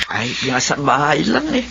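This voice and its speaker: An actress with a Filipino accent playing a mild, contented aging mother, unhurried, in a radio drama. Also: very deep voice, creaky, noisy background, full character voice. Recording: muffled radio drama audio, music bed.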